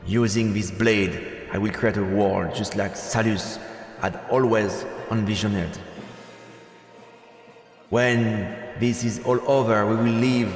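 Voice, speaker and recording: A man playing an earnest, defiant rebel monarch, giving a loud, deliberate, declamatory speech in a game. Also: on the low side, steady tone, reverberant, in a large hall, faint music behind. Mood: rousing, defiant. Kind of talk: theatrical speech to a crowd.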